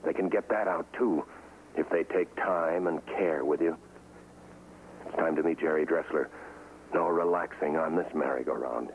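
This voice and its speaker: Guarded, earnest undercover operative, 1950s TV drama. Low voice, terse, matter-of-fact. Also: male voice, normal speed, low-quality recording. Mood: tense, grave. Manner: clipped, serious, matter-of-fact. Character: guarded, earnest man working undercover inside a communist cell. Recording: old 1950s TV soundtrack, some noise.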